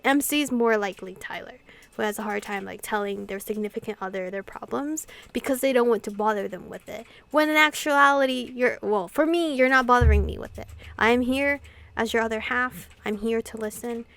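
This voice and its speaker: A woman doing an informal, expressive playthrough of a visual novel, reading and commenting as host. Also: fairly high pitch, brisk, vocal fry. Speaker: gaming video host.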